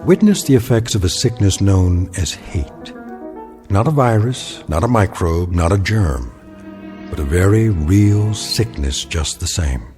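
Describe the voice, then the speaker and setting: Deep, dark-toned ominous narrator, a man. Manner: measured, ominous delivery. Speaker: series narrator. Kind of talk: scripted closing narration.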